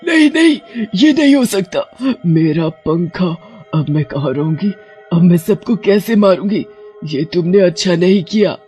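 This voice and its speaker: A woman's voice: on the low side, expressive, measured pace, dark tone, soft background music. Woman playing a vengeful, menacing witch ghost. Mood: dismayed. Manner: menacing, theatrical. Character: vengeful murderous witch ghost haunting a ceiling fan. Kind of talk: animated horror story acting.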